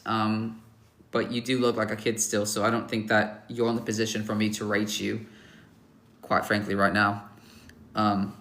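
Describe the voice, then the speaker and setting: A man's voice: medium pitch, a bit bright. A young man hosting a casual livestream, giving blunt, offhand verdicts on viewers' photos with lots of fillers and teasing jokes at the chat. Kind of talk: casual live-stream chat.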